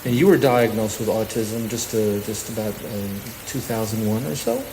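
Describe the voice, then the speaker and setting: A man hosting a live radio show on stage, introducing and interviewing guests with halting, repetitive phrasing. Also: lowish voice, noisy background. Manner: halting, hesitant phrasing with repeated words.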